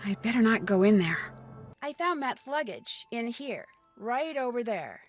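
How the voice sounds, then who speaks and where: A woman's voice: a little high, big pitch swings, a little slow. A woman playing a curious, polite young detective in a video game, direct and questioning in her manner. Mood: matter-of-fact. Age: young adult. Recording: music behind dialogue.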